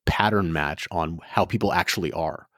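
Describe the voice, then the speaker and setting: A man's voice: low voice, normal speed, a bit bright, no room sound, clean studio sound. A man co-hosting a podcast, talking frankly and rambling, with many restarts. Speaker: podcast co-host. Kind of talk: casual podcast chat.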